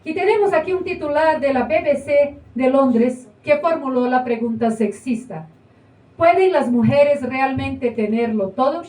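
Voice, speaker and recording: A woman's voice: high voice, unhurried, darkish tone. A woman acting in a live stage play, questioning and arguing her point. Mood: lecturing. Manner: questioning, argumentative. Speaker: stage actor. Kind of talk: live theater performance. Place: small indoor theater. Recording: roomy, distant stage recording.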